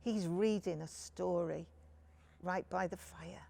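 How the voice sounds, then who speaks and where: A woman's voice: lowish voice, steady tone, slow pace, gravelly, warm tone. A woman reading a picture book to young children, phrasing things simply and speaking to her listeners directly. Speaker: children's storyteller.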